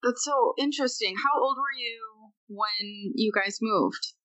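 Woman host, bright crisp voice, dry close sound, relaxed encouraging questions. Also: fairly high pitch, unhurried, dry recording, rough recording. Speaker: podcast host, interviewer. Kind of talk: casual interview questions.

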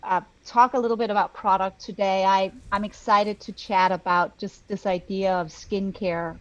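A woman's voice: medium pitch, steady tone, moderate pace, a bit bright, no echo, highs cut off. A woman from Denmark with a Danish accent speaks as a podcast guest, conversational and enthusiastic, thoughtfully searching for her words. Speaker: guest, beauty brand co-founder.

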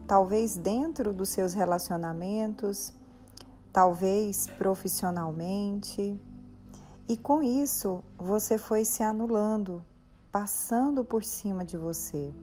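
A Brazilian woman leading a guided meditation, soothing and gently instructing in short phrases with pauses between them. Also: mid-range voice. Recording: soft background music. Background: soft music.

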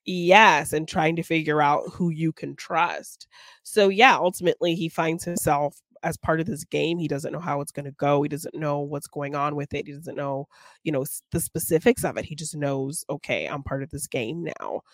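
A woman's voice: low voice, moderate pace, dry recording, studio quality. A woman co-hosting a film podcast, talking casually and conversationally.

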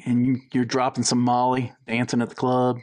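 A man's voice: mid-range voice, completely flat delivery, normal speed, a little mellow. A man from South Georgia co-hosting a podcast, with a mixed Southern accent, telling a rambling story in a playful, teasing way. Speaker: podcast co-host. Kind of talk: casual chat.

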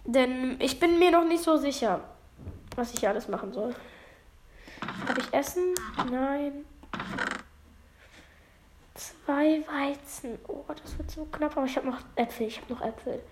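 High-voiced woman, halting game commentary. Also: slight background noise. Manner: halting, apologetic, loses her words. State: feeling under the weather. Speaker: let's play gamer. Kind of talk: casual gameplay commentary.

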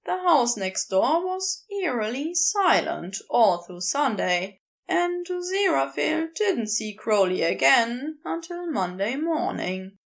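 A woman reading a story aloud as a narrator, in expressive scripted narration. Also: high-pitched, takes it slowly, vocal fry. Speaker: podfic narrator.

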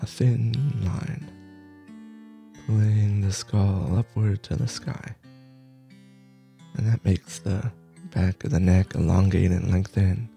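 A man leading a guided meditation, soft-spoken, in short halting phrases with long pauses. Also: sits low, level tone, creaky, soft background music. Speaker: meditation teacher.

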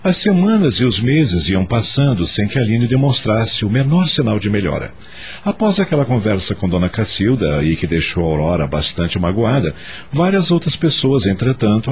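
A man's voice: on the low side, sing-song, normal speed, husky. A man with a Brazilian accent narrating a scripted radio drama in a dramatic storytelling style.

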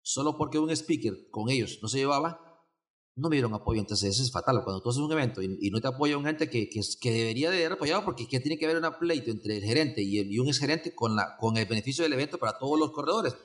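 Un hombre de Honduras con acento hondureño, invitado de una entrevista, que habla de forma suelta con muchas repeticiones y muletillas. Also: male voice, medium pitch, lively intonation, fast. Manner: rambling, many restarts and fillers. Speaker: event organizer, guest. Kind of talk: casual interview chat.

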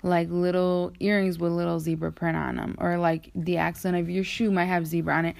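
A woman's voice: on the low side, level tone, fairly quick, creaky, darkish tone. A woman hosting a casual conversation in an informal, slangy way. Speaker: podcast host. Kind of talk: casual chat about fashion.

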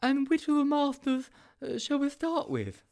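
A man voicing a jittery, timid schoolboy sidekick who stammers in a high, fearful voice. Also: sing-song, moderate pace, vocal fry, a little mellow, exaggerated voice. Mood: nervous.

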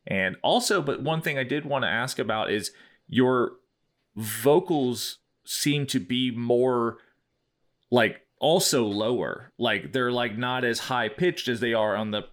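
A man hosting a casual music podcast, asking questions haltingly with many fillers and restarts. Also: medium pitch. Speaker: podcast host. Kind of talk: casual interview chat.

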